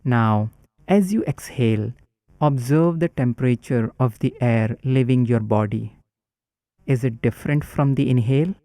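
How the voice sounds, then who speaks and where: Male meditation guide, warm dark tone, unhurried calm instruction. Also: medium pitch, dry recording, clean studio sound.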